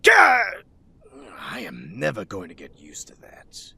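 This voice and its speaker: An actor playing a grumpy, skeptical city cop in an audio drama, raspy, curt and sardonic. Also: male voice, low-pitched, sing-song, moderate pace, a bit bright, exaggerated voice. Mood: exasperated, sarcastic. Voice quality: gravelly, raspy.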